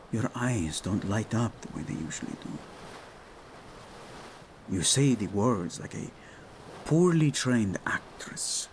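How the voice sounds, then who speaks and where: A man performs a doting, playful king in a romantic audio drama. His voice has a gravelly edge and moves unhurriedly through very animated rises and falls. He speaks intimately, like a husband to his beloved. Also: mid-range voice, a little hiss or hum. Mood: earnest, soothing. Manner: tender, playful, unhurried endearments. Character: devoted, teasing Spanish-speaking king and husband.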